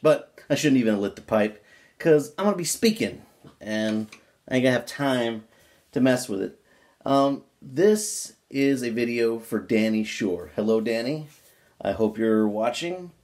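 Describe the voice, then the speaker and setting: A man with a folksy, informal manner, chatting casually and reading aloud from a book in an unhurried way. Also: medium pitch, animated, a little mellow. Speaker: pipe-smoking video creator reading a book aloud.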